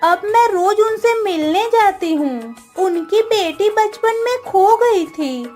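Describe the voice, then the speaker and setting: A woman's voice: very high voice, expressive, slow, darkish tone, heavily acted. A woman voicing an earnest, stubborn, tender-hearted young village girl in a Hindi cartoon story. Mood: earnest pleading. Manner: earnest, childlike. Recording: music under the voice.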